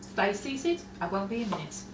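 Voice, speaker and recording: An actress playing an attentive, teasing sister in a nostalgic radio drama, with a brisk, fussing, conversational manner. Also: female voice, mid-range voice, very animated, close to an everyday voice. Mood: fussing, friendly. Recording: roomy, distant, noisy live stage recording.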